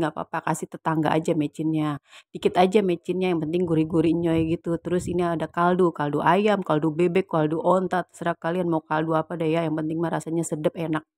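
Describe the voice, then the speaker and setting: Lady cooking at home, kinda husky n hoarse, low-ish voice, jokey chatter, dry sound w faint music. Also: steady tone, fast talker, a little mellow, dry recording. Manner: playful joking banter. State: slightly hoarse from a sore throat. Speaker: cooking channel host. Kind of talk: casual cooking tutorial chat. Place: home kitchen.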